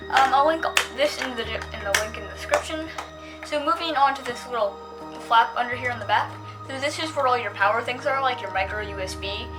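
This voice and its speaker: A female-sounding reviewer giving a casual, unscripted product demonstration, talking in a hesitant, rambling way. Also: medium pitch.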